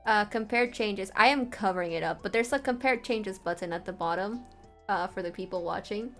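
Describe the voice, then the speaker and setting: A woman's voice: mid-range voice, fairly quick, a bit bright. Woman giving a casual, meandering livestream tutorial over music. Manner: informal, meandering explanation. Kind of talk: casual livestream walkthrough of a writing tool.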